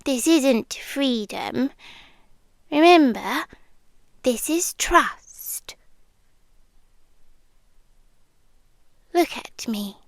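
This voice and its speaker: A British yandere girlfriend, acted by a woman for ASMR roleplay, possessive and sweetly threatening. Her high voice lilts and swoops in a very unhurried, teasing delivery. She often sinks into breathy, intimate whispers. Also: expressive, dry recording, clean studio sound, full character voice. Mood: coaxing, controlling.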